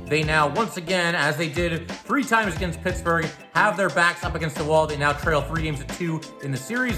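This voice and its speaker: A man who hosts a hockey podcast, giving solo commentary on a game. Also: fairly high pitch.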